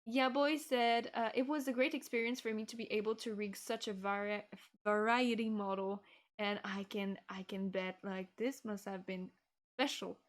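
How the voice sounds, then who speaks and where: A young woman hosting a casual livestream chat, reading viewer comments, halting and giggly. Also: mid-range voice, steady tone. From France. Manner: giggly, halting, restarts often. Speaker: VTuber streamer hosting.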